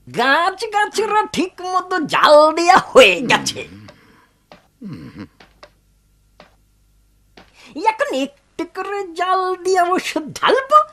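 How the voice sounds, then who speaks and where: A fussy old cartoon healer, very high and sharp in tone. The muttering delivery swoops wildly up and down, full of excitable energy. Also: female voice, unhurried, exaggerated voice. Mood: busy muttering. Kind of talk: animated cartoon dub.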